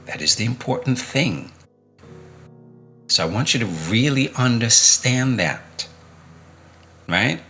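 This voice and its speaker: A man's voice: mid-range voice, animated, slow, long pauses, bright, forceful tone, faint music behind. A middle-aged man coaching viewers on fitness and mindset in an emphatic motivational talk, repeating key phrases and asking rhetorical questions.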